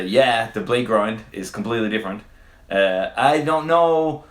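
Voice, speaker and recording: A man from Quebec whose first language is French, hosting a livestream and answering chat questions in a loose, hesitant way with fillers and swearing. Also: fairly low pitch. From Quebec, Canada. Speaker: livestream host. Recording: roomy echo.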